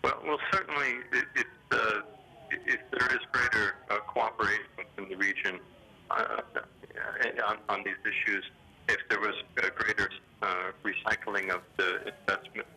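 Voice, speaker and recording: A man giving formal, hesitant economic commentary in a phone interview, speaking in a measured, official way over a telephone. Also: lowish voice, steady tone, breathy edge. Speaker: development bank official being interviewed. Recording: sharp, grainy telephone line.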